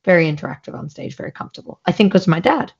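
A man's voice: high voice, animated, racing, creaky, breathy edge. A man hosting a podcast, talking casually and rambling with many restarts. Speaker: podcast host. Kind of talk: casual chat. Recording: dull lo-fi audio.

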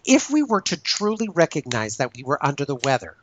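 Measured man explaining thoughtfully. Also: on the high side, wildly swooping pitch, fairly bright tone, slight background noise. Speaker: podcast host. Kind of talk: casual discussion.

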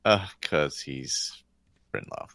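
A man's voice: rumbling low, takes it slowly, bright, forceful tone, clear, resonant tone, no echo, studio quality. A man on a podcast panel, chatting casually about a video game.

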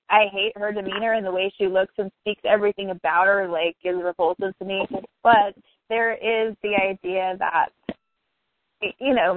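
A woman in her thirties speaks bluntly and with strong opinions, cursing without hesitation. Her voice sits at an average pitch. It comes over a thin, phone-like line with no room sound at all. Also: no room sound. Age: 30s. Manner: blunt, opinionated, swears freely. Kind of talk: casual political chat. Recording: tinny phone-like line, dry.

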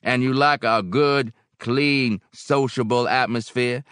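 A man voicing a big barkeeper in an audiobook, folksy and unhurried, genial yet gloomy and firm. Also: mid-range voice, steady tone, a bit bright, smooth, dry recording, studio quality, pitched above the performer's own voice. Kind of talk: audiobook character acting.

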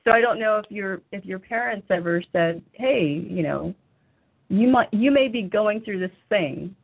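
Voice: medium pitch, animated, a little slow, vocal fry, no room sound. A podcast host asking questions in a casual interview and sharing personal stories. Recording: phone-like remote call.

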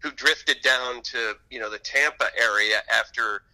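A man with a very bright, sharp voice at a middle pitch. He talks as an author being interviewed, telling stories conversationally. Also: measured pace, poor audio. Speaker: interview guest, author and TV reporter. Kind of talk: conversational storytelling.

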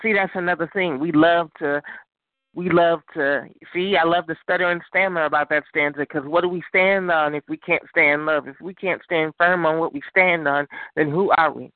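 A man's voice: on the high side, fairly even intonation, normal speed, no room sound. A man calling in to recite a spoken word poem with rhythmic wordplay, heard over a phone line. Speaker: call-in poet. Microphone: phone call.

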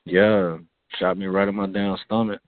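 A man from Miami telling a rambling, profane story in casual chat, with a halting manner. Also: deep voice, flat delivery, dry recording. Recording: phone-like band-limited audio.

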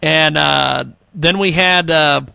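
Radio host guy, halting w lots of fillers, gravelly bright voice. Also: fairly high pitch, no room sound. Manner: halting, laughing often, many fillers. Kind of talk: radio talk show hosting.

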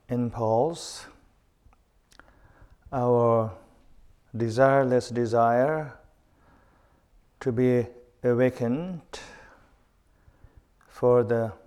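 A man guiding a meditation in short phrases with long pauses between them. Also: medium pitch, a little mellow, smooth. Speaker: meditation guide. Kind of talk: guided meditation, spoken instruction. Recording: long reverberant hall sound.

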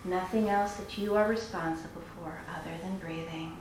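A woman leading a guided meditation in a soothing, unhurried manner, pausing between phrases. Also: lowish voice, steady tone. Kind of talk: guided meditation, slow instruction. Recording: very echoey room with music. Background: meditation music.

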